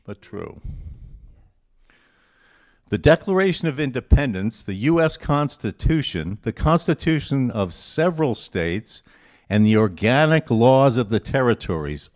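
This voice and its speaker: A man presenting a quote quiz, reading quotations aloud and chatting informally with a group in a deliberate, conversational way. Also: fairly low pitch, darkish tone.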